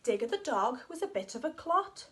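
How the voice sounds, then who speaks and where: A woman reading a rhyming children's story aloud in an expressive, sing-song way. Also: high-pitched, normal speed.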